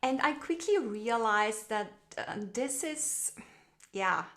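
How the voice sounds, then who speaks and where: Give me a middle-aged woman with an Austrian accent, telling her story in a reflective, halting way. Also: fairly high pitch, expressive. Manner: warm, reflective, frequent fillers and restarts. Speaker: guest, branding expert. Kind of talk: casual conversational storytelling over video call.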